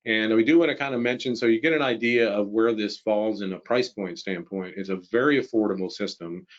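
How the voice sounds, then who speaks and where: Low-voiced American man, muffled webinar audio. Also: fairly quick. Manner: conversational, explanatory. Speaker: webinar presenter, product trainer. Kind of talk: informal product webinar presentation. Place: small indoor room, on a video call.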